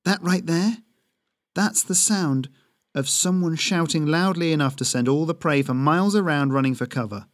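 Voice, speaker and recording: A man's voice: a little high, moderate pace, dry recording, clean studio sound, pitched above the performer's own voice, close to an everyday voice. A man voicing a wry, teasing woman hunter with a blunt, mocking manner.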